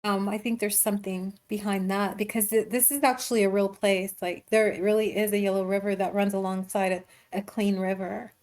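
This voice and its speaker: A woman screenwriter talking casually and haltingly about her writing in an online meeting. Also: mid-range voice, little pitch movement, darkish tone. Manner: hesitant, informal, halting explanations. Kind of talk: casual discussion in online table read.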